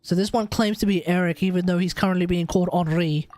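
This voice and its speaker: A woman's voice: on the low side, steady tone, fast talker, darkish tone. A woman with an Australian accent giving casual live commentary as she streams a game.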